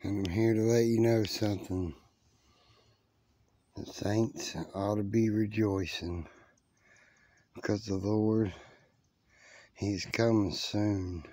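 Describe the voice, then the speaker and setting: An American man in his forties with a gravelly voice, preaching informally to camera in a folksy, unhurried way, outdoors with a phone held close. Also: lowish voice, fairly even intonation, warm tone, dry recording. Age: 40s. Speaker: lay preacher vlogger. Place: outdoors by a river. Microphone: phone held close to the face.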